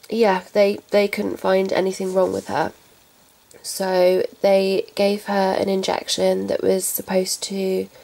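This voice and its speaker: A young woman vlogging about her pets, talking softly and haltingly while getting over a cold. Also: medium pitch, creaky, rough recording. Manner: soft, halting, subdued. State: recovering from a cold. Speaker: pet vlogger. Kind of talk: casual talk to camera.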